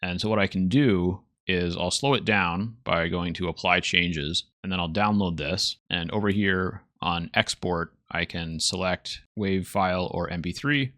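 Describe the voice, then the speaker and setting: A man hosting a casual software tutorial, thinking aloud as he demonstrates. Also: sits low, measured pace, few pauses, dry recording, clean studio sound. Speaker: tutorial host.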